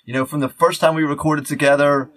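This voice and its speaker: A man with a somewhat high voice hosts a casual podcast. He rambles conversationally, breaking off and restarting his thoughts as he goes. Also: steady tone, quick pace, a bit bright, no echo. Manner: rambling, self-interrupting. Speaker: podcast host. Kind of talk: casual chat.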